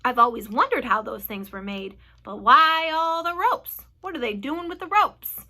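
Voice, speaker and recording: A woman's voice: high voice, moderate pace, sharp tone. A woman voicing a cranky, sardonic male centipede from a children's storybook, with a brash, dismissive manner. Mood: curious.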